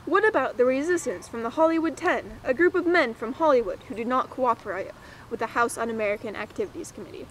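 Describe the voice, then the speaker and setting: Young female presenter, high voice, scripted mock-documentary read, slight noise behind. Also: fast. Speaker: presenter and interviewer.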